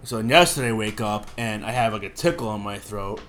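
A man's voice: fairly low pitch, lively intonation, fairly quick, a bit bright, a little hiss or hum. A man with a cough, sick, co-hosting a podcast and rambling casually with frequent swearing. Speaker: podcast co-host. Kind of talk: casual chat.

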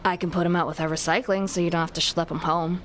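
An actress playing a deadpan young woman who fixes robots, wry and casual, in a comedy sci-fi audio drama. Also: on the low side, quick pace, natural voice. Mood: matter-of-fact. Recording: clear background ambience noise.

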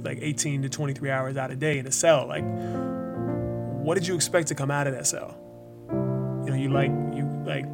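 An actor playing a weary but forgiving wrongfully imprisoned young man in an interview-style drama monologue, halting and informal, repeating words. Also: medium pitch, creaky, natural voice. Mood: bitter, incredulous.

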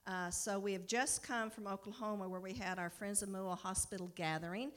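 A woman's voice: mid-range voice, fairly even intonation, a little fast. A middle-aged or older American woman giving an informal, enthusiastic personal talk to a congregation as a mission worker. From United States. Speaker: mission partner for a hospital, guest speaker.

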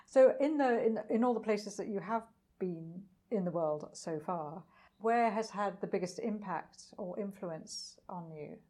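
A woman's voice: mid-range voice, measured pace, warm tone. Attentive woman interviewer. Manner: attentive, frequent backchannel murmurs. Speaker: podcast host.

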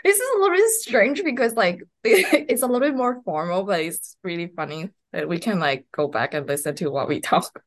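A woman from Hong Kong talks as a podcast guest in a voice of medium pitch. She answers casually and informally, often dropping "like" into her sentences. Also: very animated, normal speed. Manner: informal, peppers talk with "like". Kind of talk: casual interview chat.

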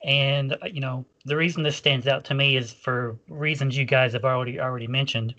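A man at middle pitch, chatting casually as a podcast co-host. His speech wanders and leans heavily on "like". The recording sounds a little dull and lo-fi. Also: steady tone, a little fast, pushed and bright. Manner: rambling, frequent "like" fillers and restarts. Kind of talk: casual fan discussion.

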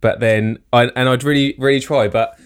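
A man's voice: medium pitch, fairly even intonation, clear, resonant tone. A young man from the UK, co-hosting a podcast, rambling and poking fun at himself. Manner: rambling, self-mocking, quick laughs. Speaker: podcast co-host. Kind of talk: casual chat.

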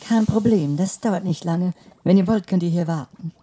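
A woman's voice: lowish voice, moderate pace, dark tone, close to an everyday voice. A woman playing a friendly, helpful forensic lab technician in an audio drama. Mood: welcoming.

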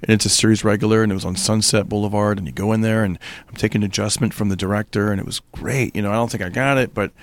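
A man who works as an actor, chatting as a guest and often halting and repeating his words. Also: fairly low pitch, steady tone, dry recording. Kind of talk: casual chat.

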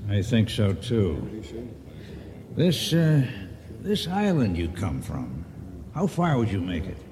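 A man acting a shrewd, suspicious ship's captain in a radio drama, brisk and sardonic. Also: on the low side, huge pitch swings, warm tone, long reverb tail, slight background noise, full character voice. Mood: resolute.

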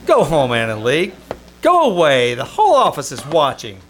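A man's voice: fairly high pitch, moderate pace. A man playing a wry, lovesick and easily flustered Hollywood press agent in an old-time radio comedy performed on a theater stage. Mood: exasperated. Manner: wry, excitable, stammering when flustered. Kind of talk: old-time radio comedy acting with first-person narration. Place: small-town theater stage. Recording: noisy live theater stage recording.

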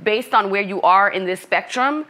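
Middle-aged Brooklyn-born woman, bright tone, measured, thoughtful interview guest. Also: mid-range voice. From Brooklyn, New York. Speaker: coach and author. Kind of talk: interview conversation.

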